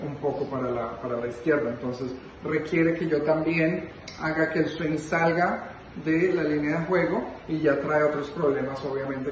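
A man in his thirties coaching bowling technique, moving through the drills as he explains them. His voice sits a little high and reaches a distant camera mic across a very echoey living room. He keeps stopping to ask "ok?" before moving on. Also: dull audio, a little hiss or hum. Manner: explanatory, frequent "ok?" check-ins, demonstrating moves while talking. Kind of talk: instructional demonstration. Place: living room at home, indoors. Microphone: camera a few meters away.

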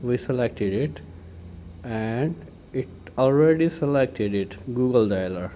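A man presenting an informal step-by-step tech tutorial in a halting way, thinking aloud as he works. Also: medium pitch. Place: indoors at a computer desk. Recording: steady room noise.

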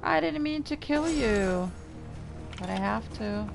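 A woman's voice: on the high side, expressive, slow, creaky. A young woman from the Middle East with an Arabic accent, chatting casually with her stream while playing. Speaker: gamer streamer. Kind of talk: casual let's play commentary. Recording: game music underneath.

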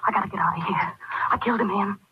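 A woman's voice: mid-range voice, rapid-fire, creaky, lightly acted. An actress playing a panicked, confused woman murderer, muttering in breathless fragments in the style of old radio horror. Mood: panicked, dazed. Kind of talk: 1940s radio horror acting. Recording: muffled, very noisy old radio recording.

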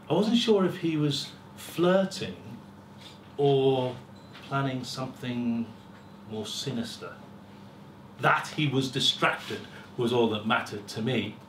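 A middle-aged man giving a dramatic, deliberate first-person story reading, with pauses and swelling emphasis. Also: medium pitch, fairly bright tone. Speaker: story reader at a live festival. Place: small lecture room with seated audience. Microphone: far camera. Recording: roomy, distant camera mic, faint hiss.

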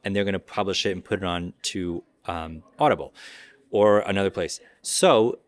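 A man informally demonstrating software in a conversational, explanatory way. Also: low voice, normal speed. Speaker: audio software company representative giving a demo.

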